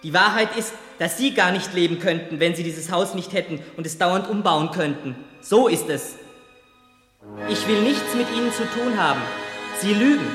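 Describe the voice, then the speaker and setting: Unforgiving young son, radio drama, high voice, insistent formal accusations, reverberant hall. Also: male voice, moderate pace, fairly bright tone. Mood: bitter, accusing. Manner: insistent, formal, prosecuting questioning. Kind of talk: radio play acting, 1970s. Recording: reverberant hall, music behind.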